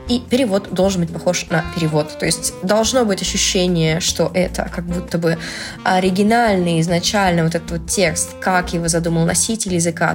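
A young woman from Russia, a translator, explaining things in a casual, playful vlog with slangy asides and animated emphasis on key words. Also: on the low side. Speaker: translator and Italian language blogger. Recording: music playing loudly under the voice. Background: background music.

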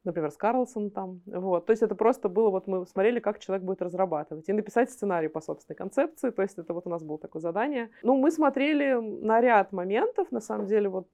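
A woman's voice: mid-range voice, fast talker, few pauses, mellow. A woman being interviewed as a guest, explaining things conversationally and often rephrasing herself mid-sentence. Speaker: interview guest from an animation studio.

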